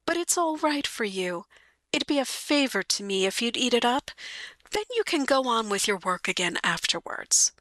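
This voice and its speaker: A woman voicing a soft-spoken, grieving housewife who gently coaxes the men around her, drawing out her words. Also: a little high, lively intonation, dry recording, pitched above the performer's own voice. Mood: sweetly pleading. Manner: gentle pleading, drawn-out words.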